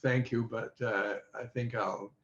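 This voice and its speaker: An older man speaking as an interview guest on a video call, halting and often searching for words. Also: medium pitch, steady tone, a bit bright. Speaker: interview guest, former quiz show contestant. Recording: muffled, echoey video call audio.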